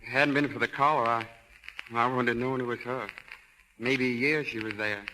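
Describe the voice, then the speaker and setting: Devoted American country son male actor: bright mid-range voice, earnest, careful, soothing, 1930s radio broadcast. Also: mid-range voice, fairly even intonation, a little fast, slight background noise, close to an everyday voice, poor audio. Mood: soothing, reluctant. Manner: plain-spoken, earnest. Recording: old 1930s radio broadcast, faint music.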